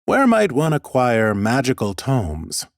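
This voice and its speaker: A man's voice: medium pitch, huge pitch swings, slow pace, no room sound, clean studio sound, full character voice. A man voicing an ancient, mighty lich in a fantasy audiobook, bookish and courteous, with a formal, pompous manner. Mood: brisk, self-assured. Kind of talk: audiobook character acting.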